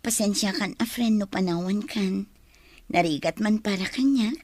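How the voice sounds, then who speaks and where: A woman's voice: medium pitch, moderate pace, darkish tone, no room sound, lightly acted. A woman acting a consoling Ilocano friend, dry sound. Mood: gentle, apologetic. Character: consoling female friend, longing to go home. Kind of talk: Ilocano radio drama acting.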